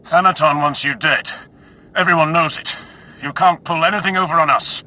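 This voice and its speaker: An actor playing an officious, easily rattled guard in a game. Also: male voice, on the high side, fairly even intonation, normal speed. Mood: officious challenge. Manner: forceful, officious. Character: officious, nervous male guard bluffing at superiors.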